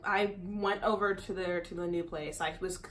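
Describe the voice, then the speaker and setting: A young woman vlogger telling a casual storytime with her roommate, chatty and animated, dropping "dude" and "exactly" often. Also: on the low side, normal speed. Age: young adult.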